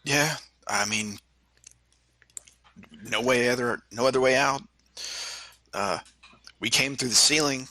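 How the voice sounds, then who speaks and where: Very bright, forceful man, dry sound. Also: mid-range voice, slow pace, pauses often, dry recording. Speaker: tabletop game player. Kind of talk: casual in-game discussion.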